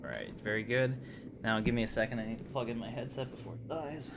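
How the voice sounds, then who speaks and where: A man's voice: mid-range voice, fast talker. A man chatting casually with viewers as he livestreams and comments on his gameplay. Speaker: game livestreamer. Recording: steady noise behind the voice.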